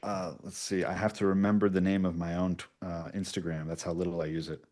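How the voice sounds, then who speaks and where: A man's voice: deep voice, little pitch movement, fast, darkish tone. A man speaking informally and a little self-deprecatingly as a guest writer-producer in a casual interview. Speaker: guest writer-producer of podcast audio dramas.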